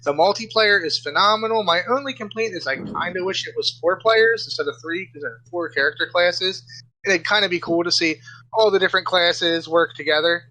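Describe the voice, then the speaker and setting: A man's voice: high-pitched, fairly quick, sharp tone, slight background noise, rough recording. A man on a podcast panel giving a casual, enthusiastic rundown of video games. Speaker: games site editor-in-chief, podcast panelist. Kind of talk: casual enthusiastic game review chat.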